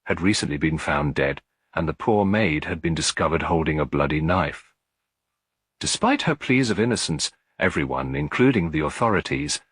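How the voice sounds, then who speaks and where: A man narrates a classic detective story in a deep, husky voice, with lively rises and falls in pitch. The reading is scripted storytelling, recorded very dry and close. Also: no room sound. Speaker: audiobook narrator.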